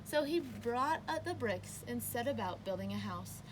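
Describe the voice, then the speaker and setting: A woman reading a children's picture book aloud as a storyteller, with expressive, emphatic narration. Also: fairly high pitch, moderate pace, background noise.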